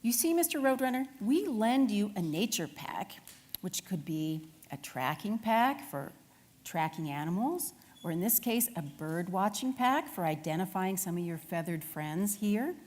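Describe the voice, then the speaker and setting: A woman's voice: mid-range voice, big pitch swings, measured pace, a little mellow, big-room sound. A woman voicing a friendly female nature educator in a children's storybook, encouraging and instructive.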